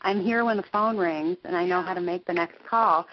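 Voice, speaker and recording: A woman's voice: lowish voice, fairly quick, slight background noise. A woman working as a lawyer, talking about networking in a casual chat, upbeat and laughing easily. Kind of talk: casual conversation. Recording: dull lo-fi audio.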